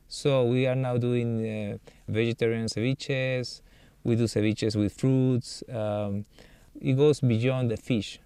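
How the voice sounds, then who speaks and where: A Peruvian man, a chef, answering interview questions in a relaxed way, thinking aloud with many fillers. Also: medium pitch, smooth, no echo. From Peru. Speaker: chef and cookbook author.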